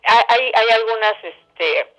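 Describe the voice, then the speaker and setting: A woman's voice: on the high side, takes it slowly, a bit bright. A Mexican woman giving conversational answers as an interview guest, a theatre director. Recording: dull phone-like band-limited sound.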